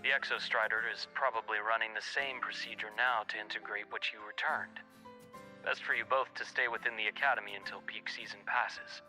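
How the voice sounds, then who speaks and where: Crisp, bright-voiced academy colleague. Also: male voice, lowish voice, steady tone, a little fast, soft background music, poor audio. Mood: matter-of-fact. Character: caring, teasing male academy colleague giving status reports. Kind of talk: anime-style video game voice acting.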